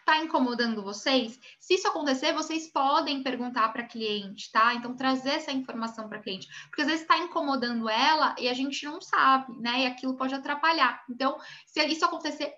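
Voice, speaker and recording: A woman's voice: high voice, a little fast, hardly any pauses, pushed and bright, muffled recording. A Brazilian woman teaching an online class on image consulting, chatty and full of little check-ins like ok. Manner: chatty, many tag questions like ok and né.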